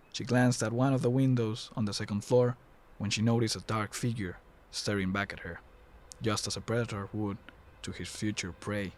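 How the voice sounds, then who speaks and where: A man's voice: fairly low pitch, a little mellow. A man narrating an audio drama in a scripted storytelling style.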